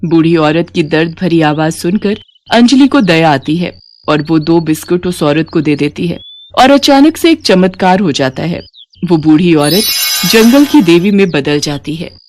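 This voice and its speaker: A woman's voice: on the low side, lively intonation, a little slow, a little mellow, slight background noise. A woman narrating a scripted moral bedtime story as a storyteller.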